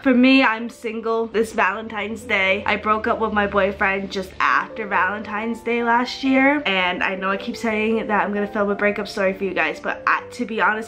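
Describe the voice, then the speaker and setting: A woman's voice: mid-range voice, a bit bright. A woman in her twenties with an American accent chats casually and informally through a makeup tutorial, recorded indoors in a bedroom. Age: 20s. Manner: informal, self-deprecating, sprinkles in swearing. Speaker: beauty vlogger.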